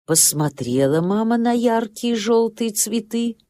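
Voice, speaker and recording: A woman storyteller narrating a scripted children's nature tale in an expressive, dramatic way. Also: on the high side, measured pace. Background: music.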